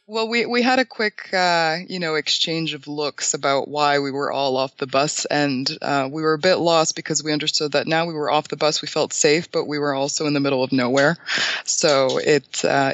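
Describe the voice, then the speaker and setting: A man, answering interview questions in a thoughtful, hesitant way with many pauses and restarts. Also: on the high side, a bit bright, dry recording, lo-fi sound. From Bulgaria. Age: 20s. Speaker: guest.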